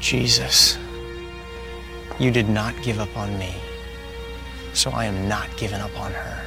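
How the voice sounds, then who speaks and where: An actor playing a man who kneels at a cross and prays for his wife, in a hushed, low voice in a film clip. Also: fairly even intonation, unhurried, gravelly. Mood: pleading prayer. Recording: film clip with loud music, from far off.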